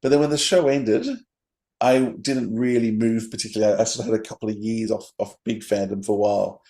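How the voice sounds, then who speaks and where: Mellow-voiced man co-hosting a podcast. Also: on the low side, little pitch movement, brisk. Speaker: podcast co-host. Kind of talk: trivia read-out and fan chat.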